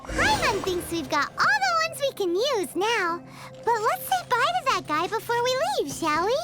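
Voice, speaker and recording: A woman's voice: sing-song, moderate pace. A woman voicing a small, chirpy, childlike fairy companion in a video game, perky, with music behind her. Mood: upbeat. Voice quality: squeaky, childlike. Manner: perky, refers to self in third person.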